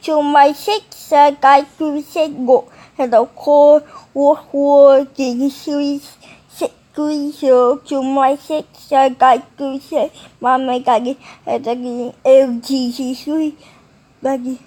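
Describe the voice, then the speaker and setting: Woman, very high voice, slow pace, halting and mumbled, rambling casual talk. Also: flat delivery, dark tone.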